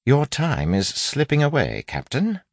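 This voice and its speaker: Man voicing a curt constable, pitched up. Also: huge pitch swings, a little slow, gravelly, no echo, exaggerated voice. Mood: curt, uneasy. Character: male constable officer, put-upon and curt. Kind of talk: audiobook character acting.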